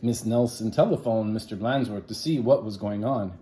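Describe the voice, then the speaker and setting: A man's voice: on the low side, normal speed, mellow, slight background noise. A man reading a children's story aloud in a theatrical, playful way, with asides to his listeners. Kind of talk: animated children's read-aloud.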